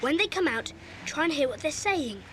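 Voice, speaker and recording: A high, swooping schoolboy voice, bossy and eager, giving brisk earnest orders like a young gang leader. It is a children's audio drama on a hissy old cassette. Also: female voice, lively intonation, bright, forceful tone. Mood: urgent, commanding.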